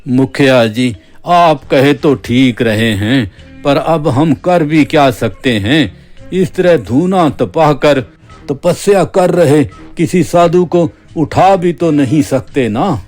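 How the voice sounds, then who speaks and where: A man playing a wary, grumbling villager in cartoon story dialogue, arguing hesitantly and deliberately. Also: fairly high pitch, a little mellow. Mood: worried, grumbling. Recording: background music under the voice.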